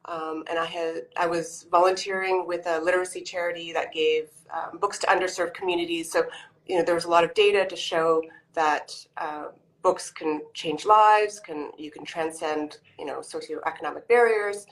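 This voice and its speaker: A woman's voice: fairly low pitch, steady tone, normal speed, low-quality recording. Chatty Canadian woman on a video call. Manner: chatty, many ums and restarts. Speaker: author guest. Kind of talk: casual interview over video call.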